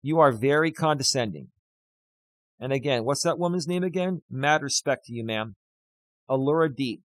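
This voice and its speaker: A man of fifty, a life coach, ranting loudly and emphatically on a live stream while reading out chat, close on a studio dynamic mic. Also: medium pitch, moderate pace, no echo. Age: 50s.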